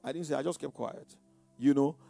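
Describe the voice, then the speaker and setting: High-voiced older Nigerian preacher. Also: male voice, brisk, vocal fry, dark tone, no echo, faint music behind. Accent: Nigerian Yoruba. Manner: repeats words, frequent "you know" fillers. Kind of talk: sermon-like personal testimony.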